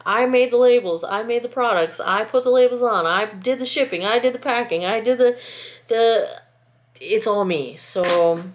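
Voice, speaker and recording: A woman's voice: fairly high pitch, moderate pace. A woman chatting casually while she packs skincare orders, sniffly and congested from a lingering cold, rambling and often cutting herself off to apologise. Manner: rambling, self-interrupting, apologetic. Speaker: small-business skincare maker. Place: at home, indoors, packing table. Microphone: camera set close by.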